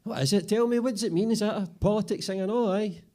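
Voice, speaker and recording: A man with a Scottish accent voicing a gruff, clueless middle-aged joinery boss who gets baffled. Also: high-pitched, fast talker, a little mellow, pitched above the performer's own voice, close to an everyday voice.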